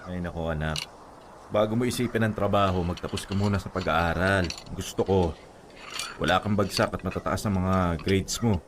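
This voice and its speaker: A man's voice: deep voice, moderate pace, background noise, close to an everyday voice. A man acting a hardworking, stern but caring father in a radio drama, with a Filipino accent and a mildly exasperated manner. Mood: firm, caring. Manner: dismissive, dutiful, mildly exasperated.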